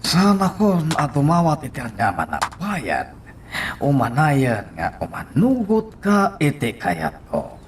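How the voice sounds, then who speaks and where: A sly, leering villain of radio melodrama, acted by a man. His voice is high for a man, slow and insinuating, over background noise. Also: wildly swooping pitch. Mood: insistent. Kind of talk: melodramatic radio drama acting.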